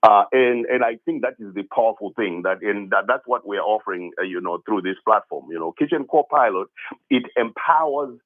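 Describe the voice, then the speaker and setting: A man's voice: fairly low pitch, a little fast. A man from Zimbabwe calling in to a radio show. He talks eagerly, stumbling into restarts and repeated words as he explains his ideas, heard through a narrow, tinny phone line. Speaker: caller, app founder. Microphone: telephone.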